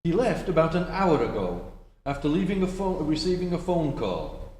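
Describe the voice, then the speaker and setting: An actor playing a formal, deferential and chatty butler, in old radio-drama style. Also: male voice, sing-song, moderate pace, a little mellow. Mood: polite, chatty. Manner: formal, polite, lilting. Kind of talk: 1940s radio acting. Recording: echoey hall with rumbling noise.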